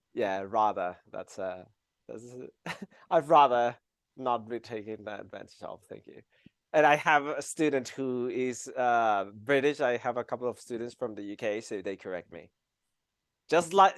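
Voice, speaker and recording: A man's voice: mid-range voice, clear, resonant tone, dry recording, clean studio sound. A man from Mexico with a Mexican accent, teaching a live online animation class in a chatty way, with many fillers and laughs. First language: Spanish. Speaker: animation instructor.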